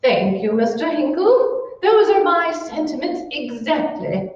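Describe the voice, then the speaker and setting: A woman's voice: high-pitched, big pitch swings, a little slow, darkish tone. A woman playing a gushing, bossy society hostess in old-time radio style, theatrical and grandly over-enunciated. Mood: solemn, grandiose. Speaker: amateur stage actor. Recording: echoey room, distant mic, dull sound.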